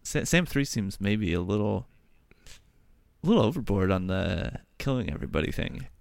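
Hesitant man in comedy improv, animated pitch, dry close sound. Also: creaky, no room sound. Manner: hesitant, halting, giggly. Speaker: improv player.

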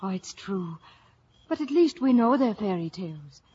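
An actress playing a dreamy young Irish village daughter in a 1950s radio drama, with a lilting delivery. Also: female voice, medium pitch, very animated, normal speed, mellow, husky. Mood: dreamy, romantic. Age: young adult. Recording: muffled old radio recording.

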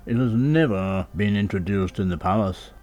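A man's voice: on the low side, moderate pace, a little mellow, natural voice. A man voicing a grand royal butler in a storybook, formal, stately and deferential. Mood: haughty. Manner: stately, formal, self-important.

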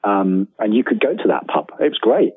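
A British man in middle age speaks as a chatty interview guest over a narrow, phone-like line. His voice is low and somewhat husky, and he tells stories in a self-deprecating way, stumbling into repeated words. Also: very animated, a little fast, faint music behind. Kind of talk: casual anecdotal interview. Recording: thin phone-like line, roomy echo.

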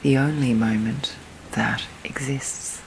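Woman doing sleep meditation, super slow soft breathy near whisper, bit of rain behind her. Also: rumbling low, rough recording. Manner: slow, soothing, long pauses between phrases. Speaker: guided meditation host. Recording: steady storm and rain noise. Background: rain and storm.

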